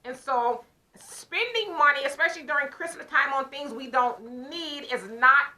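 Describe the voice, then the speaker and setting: Bright high-voiced woman hosting a talk show. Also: moderate pace. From United States. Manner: conversational storytelling, quotes her own words, emphatic. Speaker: show host. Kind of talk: casual talk show commentary.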